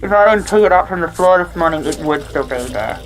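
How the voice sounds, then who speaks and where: A woman's voice: lowish voice, fast talker, lightly acted, rough recording. A woman playing a wary but playful survivor in a dystopian audio drama, talking in a casual, understated way. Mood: teasing. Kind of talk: naturalistic audio drama dialogue.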